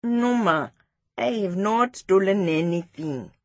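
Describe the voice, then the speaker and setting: A woman's voice: medium pitch, expressive, slow pace, a little mellow, no room sound. A woman voicing a meek, honest young schoolboy in a children's story, subdued, wounded and pleading. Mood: miserable, pleading.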